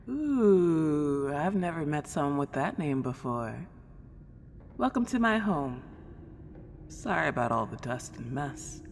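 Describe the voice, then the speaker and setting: In a long reverberant space with clear background noise, a woman plays a lonely ghost girl from a century ago. She is friendly and old-fashioned, a polite hostess who likes to chat. Her words come slowly, in the manner of an ASMR roleplay. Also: sits low, exaggerated voice. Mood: surprised, welcoming. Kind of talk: roleplay ASMR audio drama.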